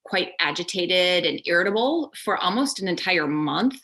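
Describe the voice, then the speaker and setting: A woman's voice: fairly low pitch, expressive, moderate pace, fairly bright tone. A young adult woman, a company founder, giving a thorough step-by-step explanation as an interview guest.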